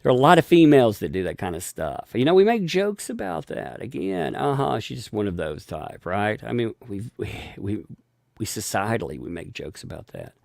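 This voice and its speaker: Man, gravelly low voice, dry close mic, rambling live-stream host. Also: sing-song, normal speed, darkish tone, no room sound. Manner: rambling, restarts sentences, chuckles often. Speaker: live-stream host reading viewer donations. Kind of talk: casual live-stream chat.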